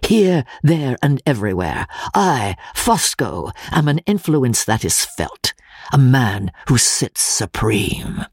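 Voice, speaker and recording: A narrator voicing a vain, pompous Italian count who speaks in a deliberate, self-satisfied way. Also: mid-range voice, very animated, husky, no room sound, clean studio sound, full character voice. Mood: smug.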